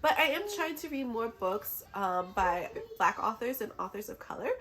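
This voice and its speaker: A woman's voice: a little high, expressive, moderate pace. A woman who is a little sick chats casually and enthusiastically to camera as a book vlogger, in an informal way.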